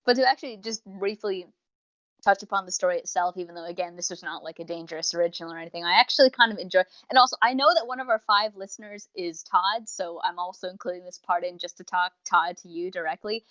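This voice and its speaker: A woman with a somewhat low voice speaks as a podcast co-host. She gives a casual, chatty review in long, wordy explanations. The recording is a little dull and lo-fi. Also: fast, a bit bright. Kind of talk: casual unscripted review chat. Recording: slightly dull, lo-fi microphone sound.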